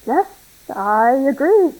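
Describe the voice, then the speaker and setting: Woman acting militant group leader, high voice, formal, curt, guarded, businesslike, radio drama. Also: sing-song, takes it slowly, a little mellow, full character voice, low-quality recording. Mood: keen, calculating.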